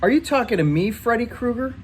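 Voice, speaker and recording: A man voicing a big teenage boy bully in a read-aloud story, with loud, drawn-out, mocking taunts. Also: extremely high pitch, lively intonation, a little hiss or hum, voice pushed up. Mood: menacing.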